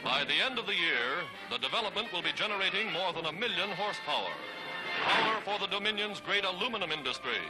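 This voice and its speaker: A man's voice: sits high, expressive, fast talker, poor audio. An American man narrating a 1940s newsreel in an emphatic, dramatic, declamatory style, reading from a script. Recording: 1940s newsreel soundtrack under loud music. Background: loud music.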